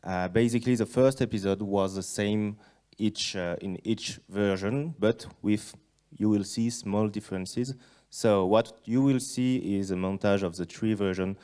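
Deep, mellow young man with French accent. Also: unhurried, hardly any pauses, clean tone. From France. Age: young adult. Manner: hesitant phrasing. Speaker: guest film director. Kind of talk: explaining in a stage talk. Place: cinema stage, indoors. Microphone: handheld microphone.

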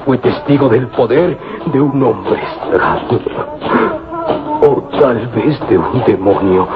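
Humble servant, fearful stammer, medium pitch, radio drama, dull recording, music underneath. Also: male voice. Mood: dejected. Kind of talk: old radio serial acting.

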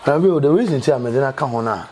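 Ghanaian man, rapid speech, emphatic, repetitive, conversational interview, background noise. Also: medium pitch, expressive, a little mellow. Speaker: interview guest. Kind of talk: animated conversational interview, switching between two languages. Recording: clear background noise, ambient sound.

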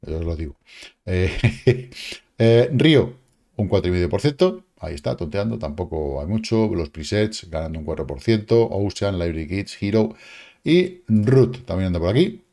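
A man, casually commenting on crypto charts in a lively, slangy way, with exclamations and sound effects, recorded at a home desk. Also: deep voice, moderate pace. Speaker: crypto market analyst. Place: home studio, indoors. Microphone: webcam framing, seated at desk.

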